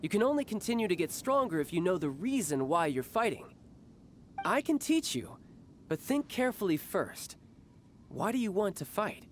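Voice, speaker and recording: An actor playing a confident, playful young warrior and doting older brother in a video game, with a theatrical, teasing manner. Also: male voice, high-pitched, very animated, normal speed, a little hiss or hum. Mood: gentle, encouraging. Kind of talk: video game character acting. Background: game music.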